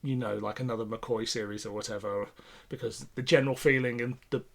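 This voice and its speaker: A man with a medium-pitched voice talks as a podcast co-host. His style is casual and conversational, with informal, opinionated phrasing. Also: fairly even intonation, fairly quick, creaky, a bit bright. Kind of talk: casual chat reviewing a radio play.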